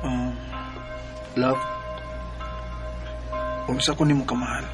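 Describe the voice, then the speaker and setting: An actor playing a young Cebuano man, a torn and apologetic lover in a radio drama. He speaks haltingly in a mid-pitched voice, over clear background music. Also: flat delivery, lightly acted. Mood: hesitant. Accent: Cebuano Filipino. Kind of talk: Bisaya radio drama acting.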